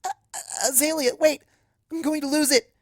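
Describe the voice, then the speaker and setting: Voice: high voice, fairly even intonation, moderate pace, no echo, pitched above the performer's own voice, lightly acted. An earnest young unicorn mare, as a storybook narrator plays her, speaks in a flustered, hesitant way. She sounds anxious, and the recording is close and dry. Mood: panicked, flustered.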